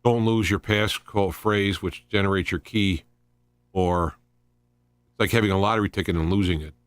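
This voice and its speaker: A man hosting an informal live radio show, explaining things in a rambling, halting monologue with repeated word starts. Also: sits low, fairly even intonation, no echo. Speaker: radio host.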